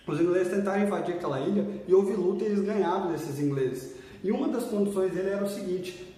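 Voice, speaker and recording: A man's voice: sits high, a little mellow. A Brazilian history teacher giving an exam-prep video lesson in a casual, chatty way, often checking in with his students. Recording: echoey room, mic far off.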